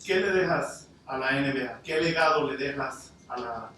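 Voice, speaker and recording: A man's voice: medium pitch, moderate pace, fairly bright tone. Male press reporter, mid-range voice, off mic with faint noise. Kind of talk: press conference questioning. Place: indoor press conference room. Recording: echoey room, distant mic, faint noise.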